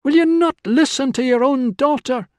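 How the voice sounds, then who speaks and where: Guy doing a fierce young woman from a historical audiobook, high voice, urgent and challenging. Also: normal speed, no room sound, clean studio sound. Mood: urgent, defiant. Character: defiant, pleading young Celtic woman confronting her father.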